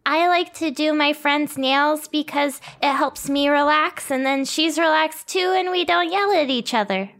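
Woman doing a high, earnest little girl. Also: measured pace, hardly any pauses, a bit bright. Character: chatty young girl at a manicure party.

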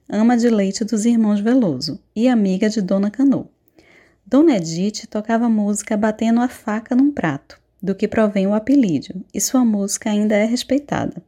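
Woman with a mellow, informative read. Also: mid-range voice. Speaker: presenter. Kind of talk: scripted educational narration.

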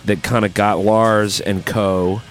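A man's voice: fairly low pitch, level tone, slow pace. A man co-hosting a music podcast, talking casually and informally like an enthusiastic fan. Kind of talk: casual chat about rock music videos.

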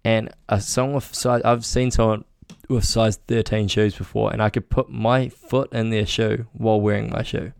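Young New guy, lowish voice with fry, relaxed slangy banter, dry recording. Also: normal speed, no room sound. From New Zealand. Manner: laid-back slangy banter. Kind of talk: casual chat.

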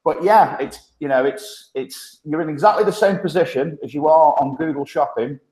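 A man's voice: a little high, moderate pace, a little mellow, roomy sound, rough recording. A man from the English Midlands with a thick Midlands accent, talking casually as a podcast guest. He chats loosely, often laughing, with lots of ums and restarts. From Stoke-on-Trent, England. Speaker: guest, agency partnership manager.

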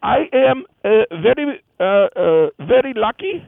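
A man doing a high rasping Indian woman. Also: big pitch swings, no echo, heavily acted. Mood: rambling. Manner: halting, broken English with many fillers. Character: woman amputee from India with transplanted hands. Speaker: phone-in comedy caller. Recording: tinny phone line.

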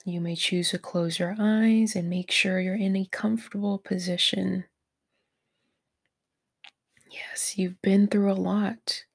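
A woman leading a guided meditation in a soft, breathy, near-whispered voice, gentle and encouraging toward the listener. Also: on the low side. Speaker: meditation guide, podcast host.

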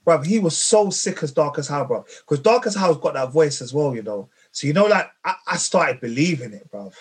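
A man in a casual group chat, animated and slangy, often saying "bruv". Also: a little high, brisk, breathy edge.

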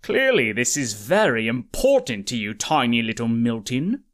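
A man voicing a sinister, vain, scheming professor in an audiobook, condescending and deliberate. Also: mid-range voice, sing-song, a bit bright, voice pushed down. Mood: smug menace.

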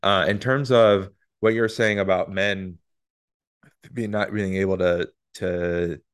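A man's voice: sits low, takes it slowly, clean tone. A man in his thirties hosting a podcast, talking casually and chattily, often restarting his sentences. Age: 30s. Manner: relaxed, chatty, with many repeated starts. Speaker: podcast host.

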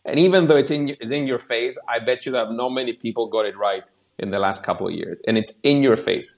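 A man's voice: medium pitch, lively intonation, rapid-fire, creaky. A man giving casual, rambling market commentary on a podcast, often restarting his sentences. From outside the US.

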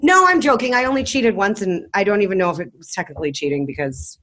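A woman's voice: mid-range voice, expressive, fast, fairly bright tone, rough recording. A woman co-hosting a radio show in casual chat, talking with lots of fillers and restarts.

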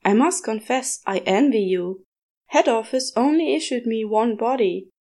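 A woman's voice: fairly high pitch, animated, moderate pace, darkish tone. A woman voicing a prim, cheerful male angel with effusive, old-fashioned politeness in an audiobook. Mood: chatty, envious. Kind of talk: audiobook character voice.

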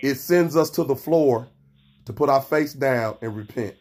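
A pastor hosting a radio podcast, talking with a cold and coughing, often saying amen. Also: male voice, mid-range voice, unhurried. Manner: warm encouraging, frequent amens.

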